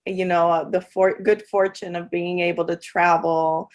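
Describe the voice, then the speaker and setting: A woman's voice: fairly low pitch, flat delivery, unhurried. A woman who works as a coach, from El Salvador and raised in Canada, talking casually in a podcast interview with many fillers and restarts. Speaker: guest coach.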